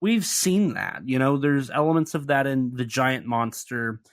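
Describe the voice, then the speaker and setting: A man's voice: mid-range voice, measured pace. A man with an ordinary mid-range voice, co-hosting a podcast and walking through a film scene by scene. He is chatty and analytical, with dry, lightly sarcastic asides. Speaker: podcast co-host. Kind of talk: casual film discussion.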